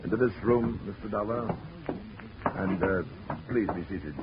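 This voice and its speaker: An actor playing a suave, menacing gentleman villain in his fifties, pompous and courtly, in 1940s radio drama style. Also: lowish voice, a little slow, close to an everyday voice. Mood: smooth command. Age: 50s. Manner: pompous, courtly, mock-genial. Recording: muffled, noisy 1940s radio recording.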